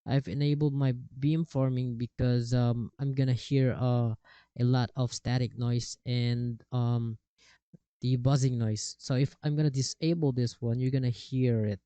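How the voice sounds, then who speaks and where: A man walks through a software tutorial in a mellow, relaxed voice. His sound is smooth and close, with very little room to it. The phrasing is casual and a bit halting as he moves from step to step. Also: medium pitch, dry recording. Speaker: tutorial host. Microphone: cheap desktop microphone with software processing.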